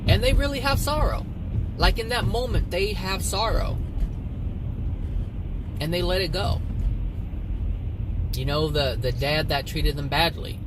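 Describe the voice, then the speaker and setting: A man giving a rambling, earnest motivational talk for his vlog, repeating his phrases, recorded in a moving car. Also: a little high. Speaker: vlogger. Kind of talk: motivational spiritual monologue. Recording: loud car and traffic noise.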